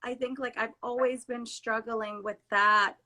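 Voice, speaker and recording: A woman's voice: fairly high pitch, steady tone, a little slow, a crowd in the background. A woman from Trinidad, a guest therapist, talking earnestly and emphatically in a casual conversation on a video call. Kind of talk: casual conversation on a live stream.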